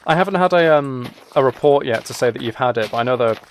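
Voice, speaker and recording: A man's voice: mid-range voice, expressive, fast, slight background noise. A man chats casually with viewers as he plays a game, rambling and musing aloud. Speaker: live streamer. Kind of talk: casual chat with viewers while gaming.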